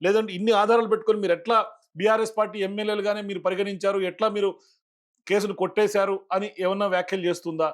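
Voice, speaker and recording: A man hosts a political interview and puts his questions rapidly and insistently. His voice is high for a man, and he speaks very fast, barely pausing between questions. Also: little pitch movement. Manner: quick, probing questions.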